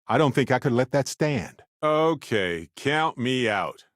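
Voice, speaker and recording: An actor playing a chatty, good-natured space explorer in a video game, speaking in a playful, conversational way with wry expressiveness. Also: male voice, medium pitch, animated, unhurried, a bit bright, no echo, clean studio sound. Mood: reflective, low-key. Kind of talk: video game companion voice lines.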